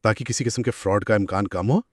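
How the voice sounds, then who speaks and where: A man's voice: fairly low pitch, fast, no echo, studio quality. A man, co-hosting an educational dialogue in a conversational, inquisitive way. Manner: inquisitive, rephrases points in simple terms. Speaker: co-host posing questions.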